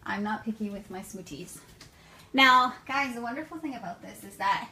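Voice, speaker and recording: A woman's voice: medium pitch, moderate pace. Woman, thirties, French accent, casual kitchen demo, chatty, noisy home recording. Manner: chatty, keeps tagging "okay?" and "guys". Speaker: vlogger demonstrating a smoothie recipe.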